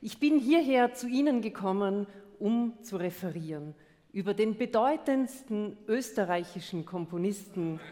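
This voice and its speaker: A woman's voice: mid-range voice, animated, moderate pace, warm tone, slight background noise. Teasing Viennese woman on a concert stage. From Austria. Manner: teasing, theatrical, playfully indignant. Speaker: co-presenter. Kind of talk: comedic carnival stage banter. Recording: live hall reverb.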